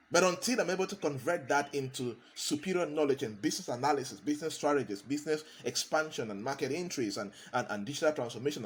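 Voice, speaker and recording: A man, a consultant giving a motivational talk, hammering points home by repeating key phrases. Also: medium pitch. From Cameroon.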